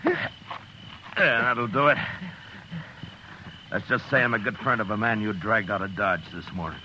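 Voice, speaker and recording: A man's voice: on the low side, unhurried, sharp tone, a little hiss or hum. A man playing a tough U.S. marshal in a 1950s radio western, terse and commanding. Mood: hard, threatening. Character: tough, stern U.S. Marshal of the old West. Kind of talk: 1950s radio western acting and first-person narration. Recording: lo-fi old radio broadcast.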